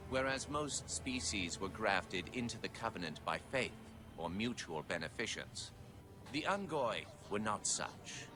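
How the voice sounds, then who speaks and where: A man's voice: fairly low pitch, expressive, measured pace, noisy background. A man playing a solemn alien chronicler who recounts war history in a grave, stately, formal style of dramatic narration. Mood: grave, proud.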